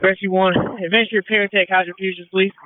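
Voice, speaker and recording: Fast, high-voiced firefighter man on radio. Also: little pitch movement, no echo. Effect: two-way radio. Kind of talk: urgent, clipped radio traffic. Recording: tinny narrowband two-way radio traffic.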